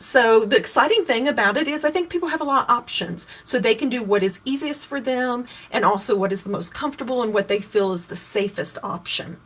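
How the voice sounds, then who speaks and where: A woman's voice: fairly high pitch, fairly bright tone. A woman in her fifties, a political candidate from Kentucky, explaining voting options and answering viewer questions in a casual, conversational livestream with frequent restarts. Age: 50s.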